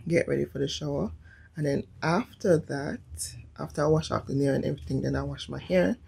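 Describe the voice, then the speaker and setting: A young woman with a Jamaican accent and a low, husky voice, vlogging casually about her day. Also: brisk, darkish tone, slight background noise. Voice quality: husky throat, creaky low voice. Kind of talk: casual vlog talk and chat.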